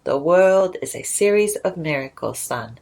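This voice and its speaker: A woman voicing a kindly, wise male writer in a children's storybook, in a measured, advising manner. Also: lowish voice, animated, darkish tone.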